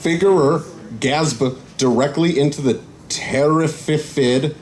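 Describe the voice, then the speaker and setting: A man's voice: a little high, slow pace, a little mellow. A man on a live panel reading a story aloud, stumbling over words. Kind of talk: halting live read-aloud of comic fan fiction. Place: convention panel room.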